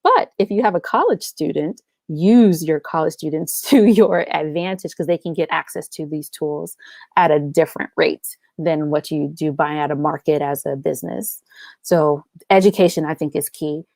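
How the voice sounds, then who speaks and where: A woman's voice: sits low, moderate pace, vocal fry, a little mellow, dry recording. Enthusiastic American woman in a dry recording. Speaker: podcast guest, audio drama writer and producer. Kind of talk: casual conversational interview.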